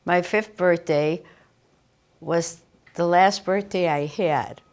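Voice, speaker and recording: A woman in her eighties from the Netherlands, warmly recalling her family and childhood in a seated indoor interview. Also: lowish voice, slow. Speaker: Holocaust survivor interviewee. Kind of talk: recounting childhood memories. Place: indoor interview set with photo wall.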